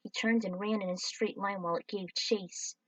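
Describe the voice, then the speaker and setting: A woman's voice: mid-range voice, fairly even intonation, normal speed, fairly bright tone, no room sound, far from the mic, rough recording. A woman reading her own fan story aloud in a casual, offhand way. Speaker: fan-fiction reader.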